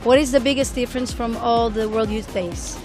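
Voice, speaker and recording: Woman street interviewer, friendly and encouraging, slightly high voice, music underneath. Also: fairly even intonation, brisk.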